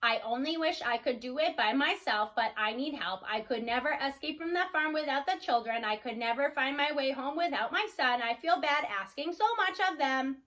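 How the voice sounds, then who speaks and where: A woman voicing a gentle, patient female robot in a storybook, speaking in a formal, measured manner. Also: sits high. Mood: earnest, regretful.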